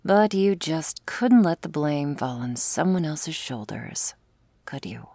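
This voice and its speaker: A woman playing a smug, predatory female vampire in an ASMR roleplay, flirtatious and taunting, with teasing, drawling, breathy delivery. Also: low-pitched, animated, takes it slowly, dark tone, dry recording, heavily acted. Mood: mocking, amused.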